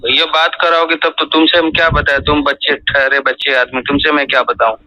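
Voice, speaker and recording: A man's voice: a little high, little pitch movement, fairly quick, bright, forceful tone, no room sound. A man on a recorded phone call, speaking as a farm owner, questioning the caller insistently. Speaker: dairy farm owner taking a job call. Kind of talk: phone conversation. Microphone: telephone line.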